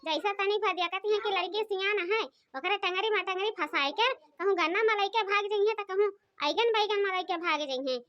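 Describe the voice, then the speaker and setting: A woman voicing a gossipy, complaining young village wife in an Awadhi cartoon comedy, with an Awadhi accent and a shrill, nagging chatter. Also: normal speed, few pauses. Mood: chiding. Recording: dry, muffled lo-fi.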